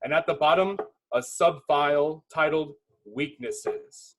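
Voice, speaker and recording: A man's voice: fairly high pitch, takes it slowly, a bit bright, poor audio. A man giving dramatic scripted narration, reading a film script's stage directions.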